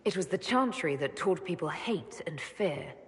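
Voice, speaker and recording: An actress playing a frank, level-headed elven woman who leads an order in a video game, speaking calmly and directly. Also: lowish voice, normal speed, slightly rough. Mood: dry, firm. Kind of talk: video game cutscene dialogue.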